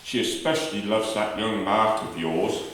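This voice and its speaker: A man's voice: lowish voice, fairly even intonation, moderate pace, a bit bright, lightly acted. A man playing a kindly, courteous neighbour in a radio play. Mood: tender, upset. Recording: echoey room, far from mic.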